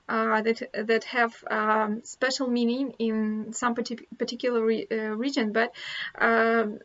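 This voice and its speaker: Russian-accented woman, slightly high voice. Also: a bit bright, lo-fi sound. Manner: hesitant, many fillers and restarts. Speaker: language teacher and vlogger. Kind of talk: casual talk to camera.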